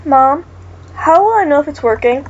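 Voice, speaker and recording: A woman's voice: sits high, expressive, moderate pace, lo-fi sound, a little hiss or hum, pitched above the performer's own voice. A woman reading the lines of an anxious, self-doubting colorblind teenage boy in a horror game. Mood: worried.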